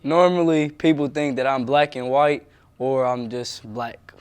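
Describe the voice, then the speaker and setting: A young man with a smooth, resonant voice answers interview questions, earnest and emphatic in his delivery. He speaks at a middle pitch, plainly and directly. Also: steady tone, a little slow.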